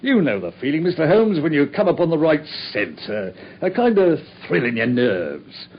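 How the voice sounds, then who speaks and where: An actor playing a boastful, self-satisfied Victorian police inspector with a British accent, husky and excitable, in a radio drama. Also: male voice, a little high, moderate pace, heavily acted. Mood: self-important recounting. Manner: pompous, excitable. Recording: muffled radio recording.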